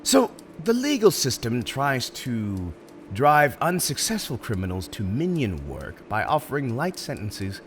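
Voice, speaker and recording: A man's voice: medium pitch, wildly swooping pitch, a little slow, faint music behind. A man playing an urbane, smug supervillain in an ASMR roleplay, speaking in a courteous, theatrical and emphatic way. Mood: reassuring, explanatory. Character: urbane, smug male supervillain interviewing a prospective minion.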